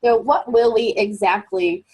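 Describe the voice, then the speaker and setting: A woman's voice: fairly high pitch, a little slow, dark tone, breathy edge, low-quality recording. A woman presenting a motivational webinar as a life coach, encouraging and upbeat, sounding a little froggy as she gets over a cold.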